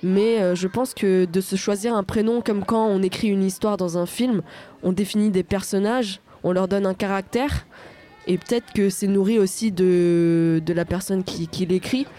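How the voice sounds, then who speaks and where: A woman's voice: mid-range voice, steady tone, moderate pace, warm tone. A young woman from France, a singer-songwriter answering radio interview questions, hesitant with many fillers and restarts.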